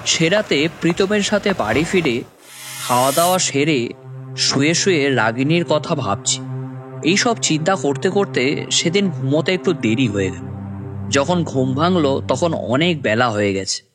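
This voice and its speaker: Man playing young cartoon storyteller, earnest, hesitant, higher voice, prominent music behind. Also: expressive, measured pace. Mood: reflective. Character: Rik, despairing young man narrating his own tale. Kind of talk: first-person story narration with acted dialogue.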